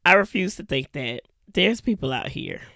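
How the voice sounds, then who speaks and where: A hoarse woman co-hosting a podcast, chatting casually with laughs and slow, drawn-out lists. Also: fairly low pitch, lively intonation, fairly bright tone, dry recording, studio quality. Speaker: podcast co-host. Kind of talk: casual chat.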